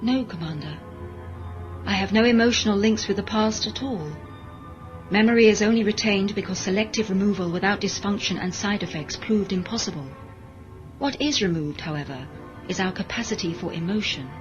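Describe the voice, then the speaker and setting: A woman's voice: medium pitch, brisk, close to an everyday voice. A woman playing an emotionless, modified cyborg servant in a science fiction audio drama, speaking in a formal, precise, deferential way. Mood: flat, detached. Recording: dull lo-fi audio drama recording, music behind.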